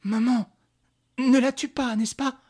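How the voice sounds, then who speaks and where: A frightened, gentle young boy from a novel, performed by a male narrator. The voice is pitched up high. He pleads softly and anxiously. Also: steady tone, slow pace, heavily acted. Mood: anxious pleading. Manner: pleading, soft.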